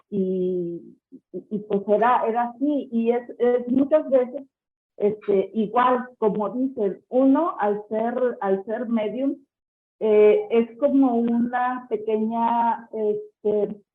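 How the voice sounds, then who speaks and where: Slow Mexican woman on a tinny line. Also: on the high side. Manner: slow and halting, with many "este" fillers. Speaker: guest medium. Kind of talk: recounting a personal experience. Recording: tinny phone-like call line.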